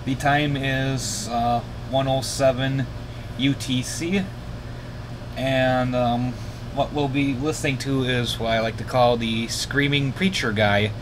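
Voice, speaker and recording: A man casually demonstrating his radio gear unscripted, haltingly searching for his words. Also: medium pitch, steady tone. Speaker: hobbyist demonstrating shortwave radios. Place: indoor home radio room. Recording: noisy room with air conditioner running. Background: air conditioner hum.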